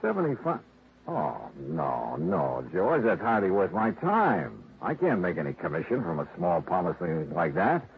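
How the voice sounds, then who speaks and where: A man's voice: low voice, sing-song, measured pace, gravelly, heavily acted. An American man playing a wry, world-weary insurance investigator in a hardboiled 1950s radio drama. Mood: wry, skeptical. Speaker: lead actor and narrator of a detective series. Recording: very noisy, narrow-band old radio recording.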